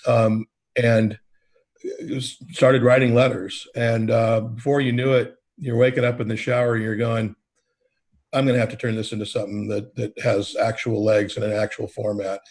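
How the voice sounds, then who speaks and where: A man's voice: fairly low pitch, level tone, normal speed, a little mellow. A man, a state coalition leader from Oregon, talking steadily with many fillers on a panel call. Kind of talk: panel discussion on a video call. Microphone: video call.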